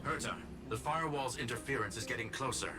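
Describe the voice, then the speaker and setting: A man's voice: mid-range voice, fast, close to an everyday voice. An actor voicing a polite, analytical male android with precise, formal speech in a video game. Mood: formal, measured. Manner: precise, formal, announces deductions. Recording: game dialogue over loud music.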